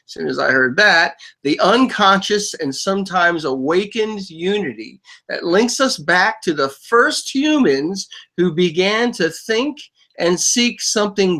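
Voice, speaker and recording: A man's voice: high voice, sharp tone. A man hosting a talk show, explaining at length with frequent stammered restarts. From United States. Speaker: podcast host.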